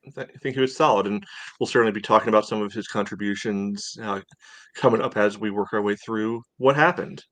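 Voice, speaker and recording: A man co-hosting a podcast, talking through sports analysis casually in a measured, hedging way full of "you know". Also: lowish voice, a bit bright, no echo. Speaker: podcast co-host.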